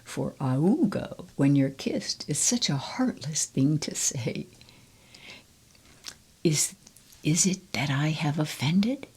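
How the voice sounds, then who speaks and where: Lovesick male turtle, pleading whisper, woman reading, breathy, unhurried. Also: low voice, expressive, darkish tone, full character voice. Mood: tender pleading.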